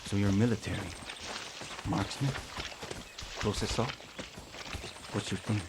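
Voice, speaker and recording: A hardened guerrilla fighter from a video game, bitter and hostile. His voice sits fairly low, gruff and clipped, with clear noise behind it. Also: unhurried. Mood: terse briefing. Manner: gruff, curt, hostile, profane. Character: bitter, grieving, tough male guerrilla fighter. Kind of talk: video game dramatic acting.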